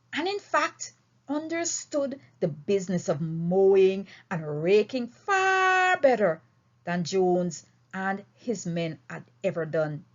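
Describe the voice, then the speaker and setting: A woman reading a story aloud in an expressive, deliberate and emphatic way. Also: medium pitch. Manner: emphatic, deliberate phrasing with dramatic swings. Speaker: audiobook reader. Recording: dull, lo-fi recording.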